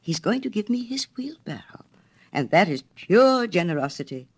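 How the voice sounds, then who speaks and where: A woman's voice: medium pitch, sing-song, normal speed, breathy edge, voice pushed up. Woman voicing timid little Hans, lilting and eager. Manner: shy, deferential, eager to please. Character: timid, eager, humble little man, gullible gardener.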